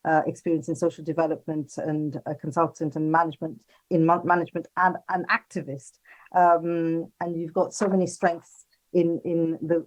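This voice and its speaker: A woman's voice: lowish voice, darkish tone, rough recording. A woman moderating a conference panel and introducing a speaker in a hesitant, stumbling way.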